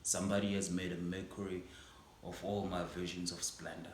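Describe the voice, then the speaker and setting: A stage actor performing a theatrical monologue as an aging male schoolteacher, proud and grieving, moving from hushed reflection to impassioned outbursts. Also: sits low, little pitch movement, fairly quick, reverberant, slight background noise, natural voice. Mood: somber.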